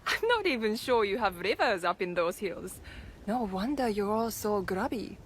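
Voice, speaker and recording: A woman's voice: medium pitch, expressive, normal speed, slight background noise, close to an everyday voice. A woman playing a proud, playful young samurai noblewoman in a video game, teasing and self-assured. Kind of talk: video game cutscene voice acting.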